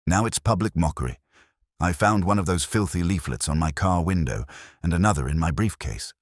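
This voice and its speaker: A pompous male councillor from a mystery story acted out by a man, flustered and snapping. His low voice swings up and down with indignation, recorded dry and close. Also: expressive, a little fast, no echo. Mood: irritated, flustered.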